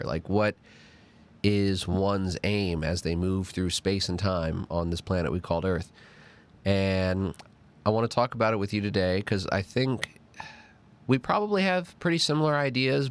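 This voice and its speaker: A man hosting a casual podcast chat, congested from a cold and sounding nasal, talking in an animated way. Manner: animated and talkative, pitch jumping up on emphasis. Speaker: podcast host.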